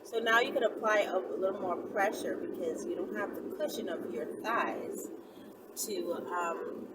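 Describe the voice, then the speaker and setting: A woman's voice: medium pitch, a little slow. Casting: female vlog host, conversational and playful instructor; mic set back, heavy background noise. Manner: conversational, playful, checks in with tag questions. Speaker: channel host. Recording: very noisy, distant mic.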